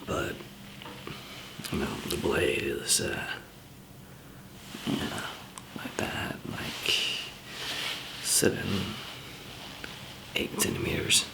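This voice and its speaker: A man playing a calm, easygoing survival trainer in an ASMR zombie apocalypse roleplay, whispering softly and hesitantly with a gravelly, breathy voice. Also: background noise. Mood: quietly instructive. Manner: hesitant, halting, soft-spoken. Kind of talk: ASMR roleplay, improvised monologue to listener.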